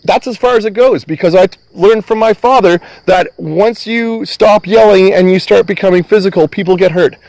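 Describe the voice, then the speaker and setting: Middle-aged man, high voice, angry, emphatic, heavy swearing. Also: moderate pace, few pauses, a little mellow, no echo, poor audio. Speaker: van-dwelling vlogger. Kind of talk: agitated venting to a police officer. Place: outdoors at a rural property.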